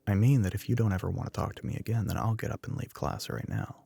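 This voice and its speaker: A man playing a smug, teasing young telepathic classmate in a soft-spoken ASMR roleplay, dry and matter-of-fact. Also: on the low side, fast talker, creaky, husky, exaggerated voice. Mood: calm, reassuring.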